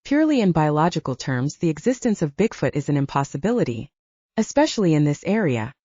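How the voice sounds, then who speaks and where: Woman reading as brainy male lecturer, audiobook. Measured, certain, academic, mellow and dry-sounding. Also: deep voice, big pitch swings, no room sound, lo-fi sound. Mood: coolly certain. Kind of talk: audiobook character dialogue.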